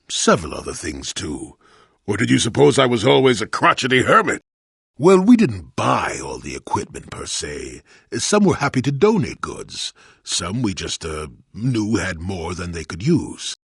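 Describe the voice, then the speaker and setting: A man playing a crotchety, wry old hermit in a video game, with a gravelly, husky voice and a sardonic storyteller's manner. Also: sits low, fairly bright tone, no room sound, clean studio sound, heavily acted. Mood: wry reminiscing. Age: older. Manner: cantankerous, sardonic, rambling storyteller. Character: crotchety old male hermit, former Jedi, wry and weary. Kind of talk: video game character acting.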